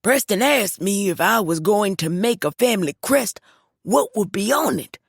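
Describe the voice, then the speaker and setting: A woman's voice: mid-range voice, lively intonation, moderate pace, gravelly, breathy edge, dry recording, studio quality, voice pushed up. A woman voicing an amused father telling a short story about his son. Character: amused father in a men's group.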